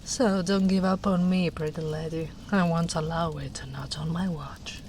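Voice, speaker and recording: A woman voicing a gentle, protective big female ogre in a tender, soft-spoken ASMR roleplay, often slipping into whispers. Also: low-pitched, fairly even intonation, normal speed, a little hiss or hum, lightly acted.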